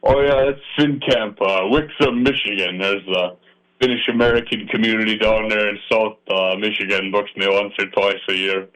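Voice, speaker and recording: A man's voice: lowish voice, steady tone, moderate pace, a bit bright. A man from northern Minnesota, a polka musician chatting casually in a radio interview, folksy and self-deprecating. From Iron Range, northern Minnesota. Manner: folksy, joking and self-deprecating, trailing off with fillers. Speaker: polka musician and accordion player. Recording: dull AM radio broadcast sound.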